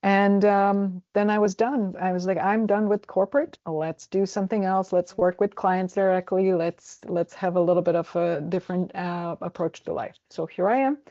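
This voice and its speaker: A woman in her forties from Croatia with a Croatian accent, answering interview questions in a halting way with many fillers and restarts. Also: mid-range voice, steady tone, mellow, no echo, highs cut off. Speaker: travel agent. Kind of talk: casual interview.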